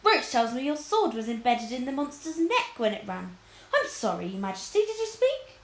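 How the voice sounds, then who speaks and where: A woman voicing a cunning storybook lord who speaks in grave, theatrical flattery, in an echoey room. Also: a little high, normal speed, pitched above the performer's own voice. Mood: flattering. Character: cunning, flattering, scheming male lord, falsely sorrowful.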